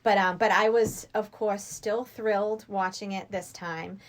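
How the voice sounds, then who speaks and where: A woman's voice: mid-range voice, steady tone, measured pace. A middle-aged woman chatting casually as a podcast guest, telling anecdotes and voicing what people said. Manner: anecdotal, acts out quoted dialogue. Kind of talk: casual film discussion.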